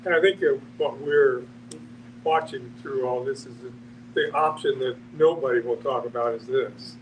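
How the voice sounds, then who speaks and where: A man in an informal group discussion, talking haltingly, often rephrasing himself and acting out questions. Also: mid-range voice. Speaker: discussion participant. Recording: distant mic with noisy hum.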